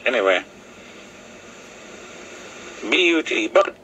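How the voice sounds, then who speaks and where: A man whose first language is Lakota, talking casually to a video camera in halting English, often pausing with fillers as he searches for words. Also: medium pitch, big pitch swings, bright, forceful tone, a little hiss or hum, rough recording. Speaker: vlogger. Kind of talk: casual rambling talk to camera. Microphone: video camera mic.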